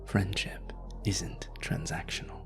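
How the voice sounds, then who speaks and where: A man playing a suave, seductive vampire in an intimate audio roleplay, murmuring in a breathy, gravelly voice with flirtatious, elegant drawl. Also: slow pace, in a whisper, lightly acted. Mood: gentle, probing. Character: suave seductive male vampire stranger, teasing, world-weary. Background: music.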